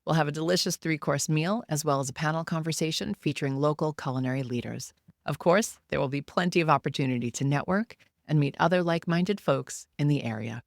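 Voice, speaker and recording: A woman hosts a podcast in a voice with a lot of vocal fry. The sound is dry and close, and she moves between scripted announcements and interview questions. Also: low voice, brisk, no room sound. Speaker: podcast host.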